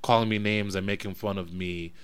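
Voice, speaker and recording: A man's voice: lowish voice, fairly even intonation, brisk, crowd noise. A young man of West African family from New Jersey, talking things through reflectively in a casual podcast conversation, with frequent restarts. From West African family, raised in New Jersey. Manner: reflective, thinks aloud with restarts.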